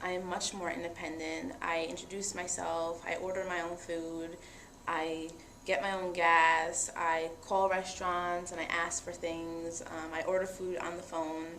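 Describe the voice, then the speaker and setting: Young woman speaking with low pitch in an echoey room. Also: measured pace. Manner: earnest, steady and reflective. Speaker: interviewee. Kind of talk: personal testimony in conversation. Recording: echoey room with faint noise.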